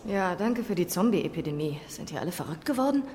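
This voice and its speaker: An actress playing a bewildered but defiant newcomer, hesitant and sarcastic, in a naturalistic radio drama. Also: female voice, fairly low pitch, expressive, quick pace, a little mellow, a little hiss or hum. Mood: sarcastic, confused.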